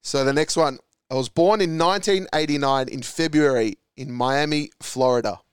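Upbeat Australian male quiz host, smooth and crisp, dry close recording. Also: a little high, moderate pace, no room sound. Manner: upbeat, reads clues then calls answers. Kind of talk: hosting a podcast sports quiz.

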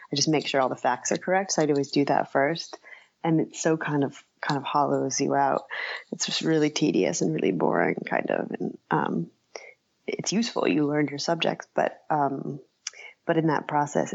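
A woman guest talks through her writing in a casual interview. Her voice sits low with plenty of fry, and she feels her way haltingly through each thought. The recording is dull and lo-fi. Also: no echo. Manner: halting, thinking aloud, trails into asides. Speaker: interview guest, nonfiction writer.

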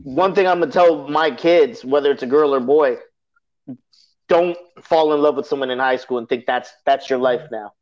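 A man's voice: medium pitch, moderate pace, darkish tone. A man in his thirties talking emphatically in a slangy, casual way as a podcast guest. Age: 30s. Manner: emphatic, slangy, repeats "you know what I'm saying". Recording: dull lo-fi sound.

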